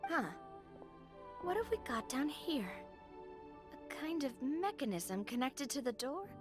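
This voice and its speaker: A woman's voice: high voice, big pitch swings, a little slow, over music. A woman voicing a cheerful, spirited young woman adventurer who talks in an upbeat, eager way.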